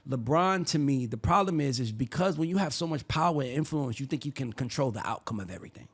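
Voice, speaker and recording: A man hosting a sports opinion show, arguing his case in a heated, preachy way and sometimes building to shouts. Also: mid-range voice, animated, fast talker, husky. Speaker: show host.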